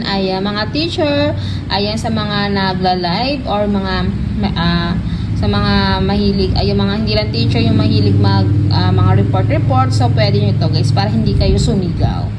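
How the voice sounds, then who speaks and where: A woman with a Filipino accent giving a casual, chatty product demo and sales pitch, often addressing her viewers directly. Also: background noise. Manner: chatty, repeats filler tags and addresses viewers. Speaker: product reviewer and live seller.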